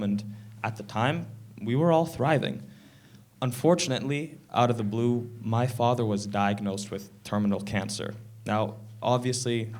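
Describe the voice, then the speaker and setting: A sixteen-year-old boy from Canada giving a prepared stage talk in an earnest, measured way, a little sick. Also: mid-range voice, darkish tone. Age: teens. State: slightly sick, clearing throat. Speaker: presenter. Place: event stage.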